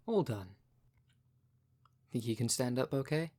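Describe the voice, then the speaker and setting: A man's voice: medium pitch, lively intonation, takes it slowly, a little mellow. A man playing a wry, caring, foul-mouthed necromancer who coaches a zombie fighter in a casual, chatty ASMR audio roleplay. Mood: warm, encouraging. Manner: chatty, colloquial, wry, consoling.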